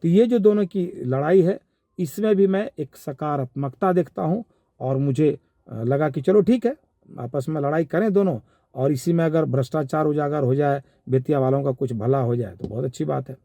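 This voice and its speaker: A man with a Bihari accent giving informal spoken commentary in a measured, halting, explanatory way. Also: fairly high pitch, warm tone, dry recording. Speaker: commentator giving his analysis of a viral call.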